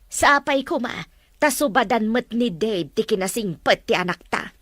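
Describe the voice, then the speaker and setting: Woman acting bright-voiced fretful Ilocano mother. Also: on the high side, expressive, normal speed, no room sound, studio quality. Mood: reproachful. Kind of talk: Filipino family drama acting.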